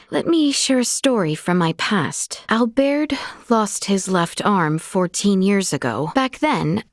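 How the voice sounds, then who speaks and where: A woman's voice: on the low side, no room sound. A woman playing a young princess in a video game, speaking in a formal, courtly and earnest way, recorded dry in a studio. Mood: polite, composed. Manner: formal, courtly, archaic phrasing. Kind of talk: scripted video game voice acting.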